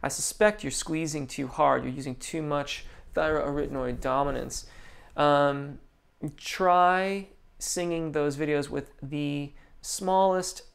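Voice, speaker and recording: A man's voice: on the high side, slow, gravelly, smooth. A man, a vocal coach, answering viewers' questions on a live stream, thoughtful and full of restarts and ums. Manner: reads viewer comments aloud.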